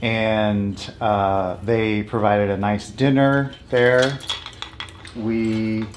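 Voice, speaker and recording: A man's voice: on the low side, fairly even intonation, extremely slow, slight background noise. A North American man vlogging in a casual, candid and conversational way about his own life. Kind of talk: casual personal vlog talk.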